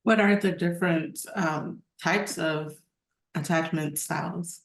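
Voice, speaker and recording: A woman's voice: lowish voice, steady tone, slow pace, gravelly. A woman hosting a podcast interview, asking short friendly questions. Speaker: podcast host. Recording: echoey room.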